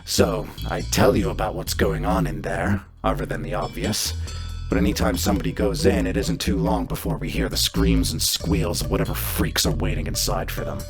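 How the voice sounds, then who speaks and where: A man voicing a gruff, blunt cyborg mercenary boss in a tabletop game, with a rasping voice and a laconic, coarse, folksy manner. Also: on the low side, big pitch swings, moderate pace, music in the background, exaggerated voice. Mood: gruff, businesslike. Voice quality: heavily gravelly, rasping.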